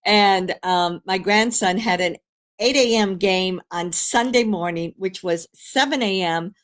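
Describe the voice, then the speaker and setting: An older woman, a grandmother, hosting a live stream in rambling, casual chat, hoarse from a cold, with many fillers and restarts. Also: mid-range voice, measured pace.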